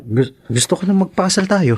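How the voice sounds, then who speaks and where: A man's voice: high-pitched, animated, brisk, darkish tone, slightly rough. A man with a Filipino accent playing a devoted, slightly hesitant young boyfriend in a Tagalog radio drama. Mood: nervous, earnest. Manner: hesitant, stammering.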